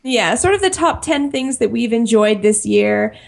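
A young woman co-hosting a casual podcast, chatty and quick to laugh, often restarting her phrases. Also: fairly high pitch, expressive, gravelly. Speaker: podcast co-host.

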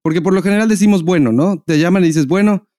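A man's voice: sits high, quick pace, mellow, dry recording, clean studio sound. A Mexican man hosting a podcast, chatting casually and informally with slangy wording. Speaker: podcast host. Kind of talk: casual conversational chat.

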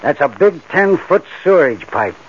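Assured New heist boss, muffled radio. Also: male voice, a little high, sing-song, normal speed. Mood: confident, persuading. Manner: assured, instructing, tough-guy slangy. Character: Marty, confident scheming bank-job mastermind, tough crook. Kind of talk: 1940s radio crime drama acting. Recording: muffled old-time radio recording, background hiss.

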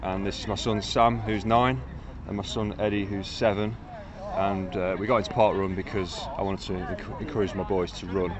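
Deep-voiced dad in his thirties. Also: level tone, normal speed, few pauses. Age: 30s. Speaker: parkrun runner, father. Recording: outdoors with crowd noise.

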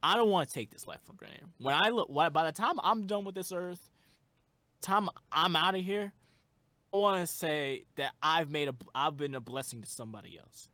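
A man's voice: fairly high pitch, lively intonation, normal speed, pushed and bright, no echo. A young man who makes music, a guest in a casual interview, talking earnestly and rambling with lots of fillers.

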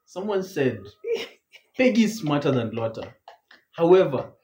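A young man with a Kenyan accent co-hosting a podcast, speaking in a laid-back way that often drops low and quiet. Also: very animated, a little slow. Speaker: podcast co-host. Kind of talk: casual banter. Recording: echoey room.

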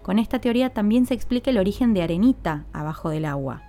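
Mellow woman narrating a podcast. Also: medium pitch, animated, fast talker, faint music behind. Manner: explanatory, addresses listeners directly. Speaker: podcast host.

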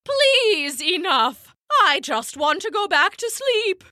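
A woman's voice: extremely high pitch, very animated, slow, pushed and bright, dry recording, studio quality, voice pushed up, heavily acted. A woman voicing a tired, grumpy female owl who is woken up and begs to be left alone. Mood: pleading. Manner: exasperated, pleading. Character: sleepy grumpy female owl, easily annoyed.

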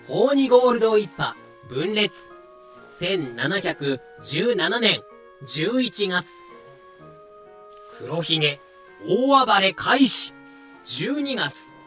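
A man's voice: high voice, very animated, extremely slow, long pauses, a bit bright, faint music behind. A man narrating a scripted audio drama in a clipped, announcing manner. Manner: clipped, announcing dates and titles.

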